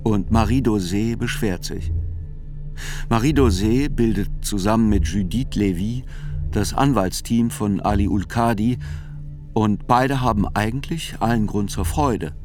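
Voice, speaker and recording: A man reading scripted literary reportage as narrator of a radio play, in a measured, dry, articulate manner. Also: lowish voice. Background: music.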